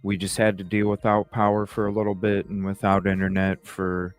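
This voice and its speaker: A man's voice: sits low, completely flat delivery, smooth, no room sound, soft background music. A man hosting a casual true crime livestream from home, rambling with lots of uhs and some cursing. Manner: slow, rambling, with frequent uhs and mild cursing.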